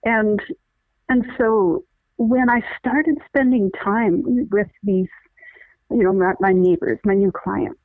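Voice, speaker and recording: A woman's voice: medium pitch, steady tone, slow pace, no room sound. A middle-aged woman, a lawyer and guest, explaining things earnestly in a conversational interview with frequent restarts. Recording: tinny phone-line sound, dry.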